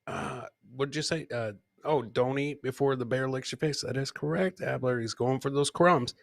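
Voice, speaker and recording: A man hosting a comedy podcast, bantering casually in a rowdy, rambling way with shouted bursts. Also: mid-range voice, moderate pace, gravelly, no room sound, clean studio sound. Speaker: host of a live comedy podcast. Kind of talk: boisterous casual live banter with listeners.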